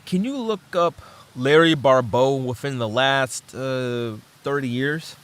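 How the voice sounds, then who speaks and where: A man's voice: mid-range voice, slow, smooth, lightly acted. A man playing a detective's curious, easygoing young son in an audio drama, talking casually and a little hesitantly. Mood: thoughtful, intrigued.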